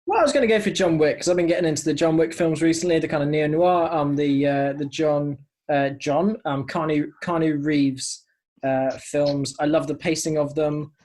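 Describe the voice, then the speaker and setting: A man's voice: fairly high pitch, normal speed, a little mellow. Man chatting casually, searching for words. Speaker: podcast co-host. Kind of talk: casual chat. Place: remote internet call.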